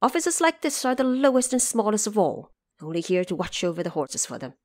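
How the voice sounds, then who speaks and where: Woman playing a lowly stable official in an audio drama, deferential but frank, explains stuff with lively pitch. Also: brisk, close to an everyday voice. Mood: frank, cautionary.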